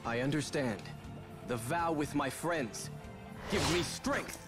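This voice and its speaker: An earnest, high-voiced young hero. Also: male voice, takes it slowly, music in the background. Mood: earnest, pleading. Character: earnest, emotional young man. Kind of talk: video game cutscene acting.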